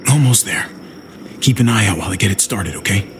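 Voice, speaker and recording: A man acting as a weary, protective survivor of a zombie apocalypse. His voice is low and he talks in an understated, natural way, as in a cinematic game, over clear engine noise. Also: animated, fairly quick, natural voice. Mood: focused, reassuring.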